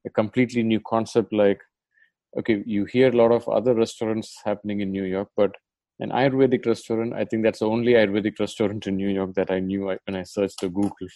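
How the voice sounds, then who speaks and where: A man's voice: lowish voice, little pitch movement, brisk, mellow, dry recording. A man hosting a podcast, talking in a loose conversational way. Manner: conversational, loose phrasing with "like" and "you know". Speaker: podcast host. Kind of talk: podcast interview.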